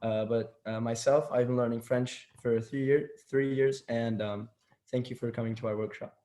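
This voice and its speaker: A teenage boy from Turkey presents an online workshop over a video call. He speaks at an ordinary middle pitch and keeps stopping with fillers and restarting his sentences. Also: steady tone, normal speed, warm tone. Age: teens. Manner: hesitant, many fillers and restarts.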